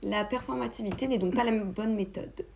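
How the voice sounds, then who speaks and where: A young woman playing a quiz contestant, explaining her answers step by step in a measured tone. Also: mid-range voice, creaky, darkish tone, a little hiss or hum. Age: young adult. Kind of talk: reading prepared quiz answers.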